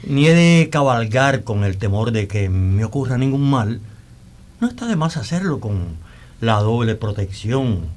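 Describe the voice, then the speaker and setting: An actor playing a confident, courteous young landowner in a radio drama, speaking in formal period language. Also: male voice, mid-range voice, big pitch swings, measured pace, a little mellow. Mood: self-assured. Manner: formal, self-assured period speech. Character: confident, courteous, devout young gentleman of the hacienda.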